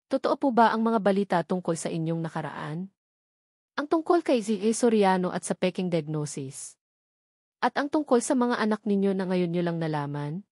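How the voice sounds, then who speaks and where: A woman voicing a sharp, prying female reporter with pointed, probing questions in a romance story. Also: medium pitch, animated, moderate pace, no echo, studio quality, close to an everyday voice.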